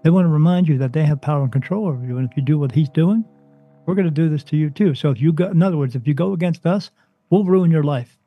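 A man from New Jersey, a spirit medium interviewed as a guest, talking emphatically and insistently, his voice soothing and deep. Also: fast talker, breathy edge, dry recording, highs cut off. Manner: emphatic, insistent, swings up in pitch when stressing points. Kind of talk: interview, impassioned preaching monologue.

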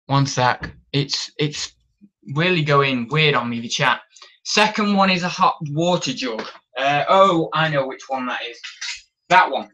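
A man's voice: fairly high pitch, bright, forceful tone, highs cut off. A young man on a casual live stream, showing auction finds and reading the chat, rambling with plenty of ums and restarts, recorded on a camera in a small room. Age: young adult. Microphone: camera on tripod.

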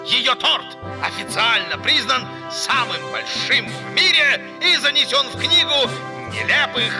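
A man's voice: very high-pitched, animated, a little slow, over music. A man voicing a pompous, theatrical bird who speaks like a flowery showman in a children's cartoon. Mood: ceremonial. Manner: pompous, oratorical. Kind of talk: Russian children's cartoon voice acting.

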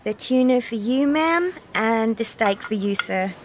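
A woman's voice: a little high, expressive, unhurried, a little hiss or hum. A woman reading the part of a polite, apologetic waitress in a coursebook dialogue.

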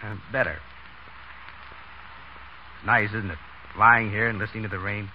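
An actor playing a wry, teasing, bossy wisecracker in a 1930s radio drama. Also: male voice, lowish voice, steady tone, moderate pace, natural voice. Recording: muffled, noisy 1930s radio broadcast.

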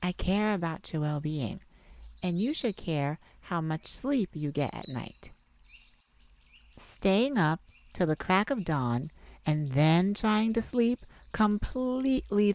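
A woman voicing a sweet, caring boyfriend in an intimate ASMR roleplay, tender, gently teasing and coaxing. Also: sits low, full character voice. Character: sweet, caring young boyfriend comforting his partner.

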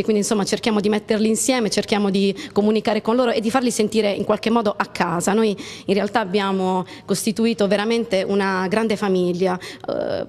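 A woman, an assistant director answering interview questions into a handheld mic, warm and hesitant with fillers. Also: mid-range voice. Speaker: assistant director and casting manager. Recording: reverberant hall.

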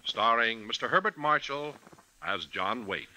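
A man's voice: mid-range voice, lively intonation, a little slow, heavy background noise. A man announcing a radio theater program in 1950s broadcast style. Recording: vintage radio broadcast with loud music.

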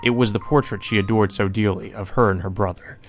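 A man with a fairly low voice reads through a visual novel as a let's player. His delivery is informal and colloquial, suited to both narration and casual commentary. Game music runs behind him. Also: fairly even intonation, fairly quick, a little hiss or hum.

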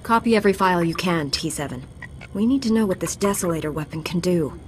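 A woman playing a composed, resolute knight in a video game, serious, measured and direct. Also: lowish voice, slight background noise, natural voice. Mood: composed, businesslike.

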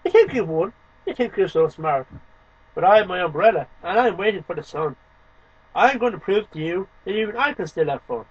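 A man's voice: sits high, expressive, brisk, husky. A man in his thirties reciting poetry to camera at home in an emphatic, declamatory way, in short phrases. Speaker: amateur poetry reader and video host. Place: small home room lined with shelves, indoors. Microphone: webcam microphone a short distance away. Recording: dull lo-fi webcam sound.

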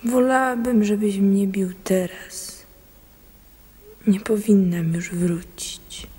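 An actress playing a broken, submissive grieving wife in a radio drama. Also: female voice, mid-range voice, fairly even intonation, slow pace, warm tone, breathy edge. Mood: numb grief. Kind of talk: radio play acting. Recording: radio drama with long reverberation.

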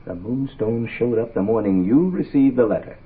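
An actor playing a grim, decisive associate of a detective in a 1960s radio drama, speaking curtly and matter-of-factly. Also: male voice, medium pitch, lively intonation, moderate pace, husky. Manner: curt, matter-of-fact. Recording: tinny vintage radio recording.

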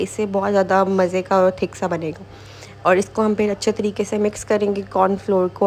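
A woman's voice: medium pitch, a little hiss or hum. A woman giving a casual step-by-step recipe voice-over as a home cooking host, informal and repetitive, mixing in English kitchen words.

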